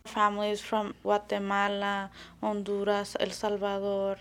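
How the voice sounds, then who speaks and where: A young woman from Mexico, in her late teens, talks through her story in an easy, casual way. Her voice carries a gravelly fry and stays fairly flat in pitch. She leans on "like" and "you know" as she goes. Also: measured pace. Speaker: interviewee. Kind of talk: casual interview talk.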